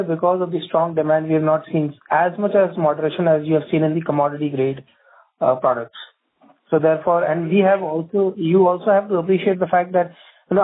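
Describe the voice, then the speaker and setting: Higher-pitched Indian executive on phone line. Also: male voice. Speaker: company executive answering analysts. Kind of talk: earnings call answers. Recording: narrow-band phone conference line.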